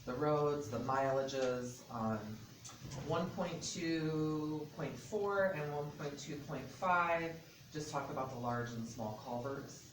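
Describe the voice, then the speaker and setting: Loud-voiced man in an echoey meeting room. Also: on the high side, slow. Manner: methodical, walks through sections point by point. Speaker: state transportation department representative. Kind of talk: explaining an agreement at a public meeting. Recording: echoey hall, distant mic, background noise.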